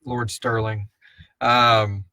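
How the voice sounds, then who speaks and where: A man's voice: fairly low pitch, little pitch movement, extremely slow, sharp tone, dry recording. A man co-hosting a live podcast Q&A in an informal, rambling, jokey way, casually reading out and answering listener questions. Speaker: co-host and producer of an audio drama podcast.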